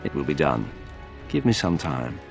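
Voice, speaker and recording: An actor playing a secretive male rogue informant in a video game, speaking in a measured, conspiratorial way with a gravelly voice. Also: a bass voice, very animated, over music, heavily acted. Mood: guarded, scheming.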